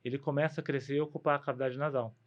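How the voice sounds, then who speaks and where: A man with a Brazilian Portuguese accent speaks as a doctor guesting on a podcast, explaining things in a conversational way. His voice is medium in pitch, and the recording is a little dull. He often sets up a question and then answers it. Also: flat delivery, racing.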